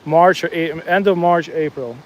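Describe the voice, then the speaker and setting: A man's voice: fairly high pitch, moderate pace, poor audio. A man with a New Jersey accent chatting casually with live viewers while he fishes outdoors, answering comments with frequent restarts. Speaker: fishing livestream host. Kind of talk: casual live-stream chat while fishing. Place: outdoors at a fishing spot, walking the bank.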